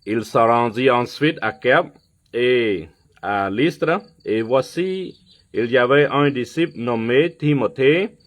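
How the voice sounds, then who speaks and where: A man who is not a native French speaker gives a sermon and Bible teaching. He talks deliberately, with frequent pauses and fillers, and often checks in with his listeners by asking "ok?". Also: mid-range voice, animated. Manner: non-native French with some slips. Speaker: preacher.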